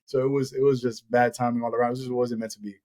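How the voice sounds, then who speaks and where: A man's voice: mid-range voice, little pitch movement, quick pace, darkish tone. Chatty man host telling stories. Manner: storytelling, many restarts and "like". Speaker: podcast host. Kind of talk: casual chat.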